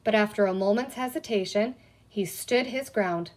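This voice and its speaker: A woman reading a children's story aloud as scripted, expressive narration. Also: mid-range voice, moderate pace. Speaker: audiobook reader.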